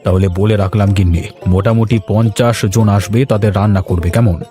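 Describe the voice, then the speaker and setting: A man's voice: lowish voice, fairly even intonation, brisk, dark tone, soft background music, lightly acted. A man playing a proud, cheerful middle-aged village father in a cartoon, plainly telling his family what to do. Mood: firm. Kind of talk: Bengali moral-story cartoon dubbing.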